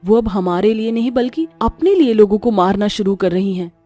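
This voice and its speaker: A woman's voice: mid-range voice, a little fast, dark tone, soft background music, close to an everyday voice. A woman voicing the upset young daughter of a witch in a horror story, speaking in an earnest, pleading manner. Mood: worried.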